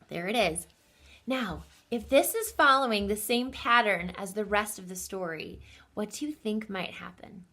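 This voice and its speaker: Animated, slow-paced woman reading a storybook. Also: on the high side. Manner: expressive, engages young listeners with questions. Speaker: children's story reader. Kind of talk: animated picture-book read-aloud.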